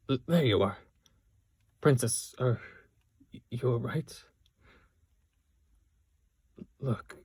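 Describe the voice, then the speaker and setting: A man playing a loyal young knight in love with his princess, speaking gently and earnestly with halting pauses in a romantic audio roleplay. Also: medium pitch, slightly rough, no echo. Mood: distressed, apologetic. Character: loyal young knight, the princess's tender childhood-friend lover. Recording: orchestral music behind the voice.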